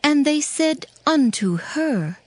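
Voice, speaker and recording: A woman narrator reading scripture in a measured, formal storytelling manner. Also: high-pitched, big pitch swings, darkish tone, no room sound.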